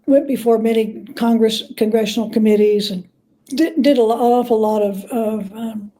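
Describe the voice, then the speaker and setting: A woman talking as an interview guest, telling stories in a halting, reflective way with repeated words. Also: fairly high pitch, dark tone, poor audio. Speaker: guest author and biographer. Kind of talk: conversational interview storytelling.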